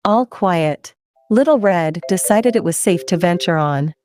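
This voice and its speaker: A woman's voice: lowish voice, lively intonation, measured pace, very noisy. Playful woman telling children a story. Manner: playful, chatty, asks listeners questions. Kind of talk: children's storytelling, addressing young viewers.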